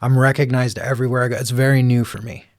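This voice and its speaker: A man with a quiet voice, telling stories in a relaxed, rambling way as a guest in an interview. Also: mid-range voice, fast, dry recording, studio quality. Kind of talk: casual interview conversation.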